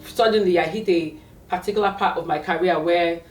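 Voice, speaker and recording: Woman, Nigerian accent, late thirties, animated storyteller, distant mic. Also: lowish voice, brisk, faint music behind. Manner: animated storytelling with fillers and restarts. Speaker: tech career coach, guest. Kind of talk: conversational interview. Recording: echoey room, away from the mic.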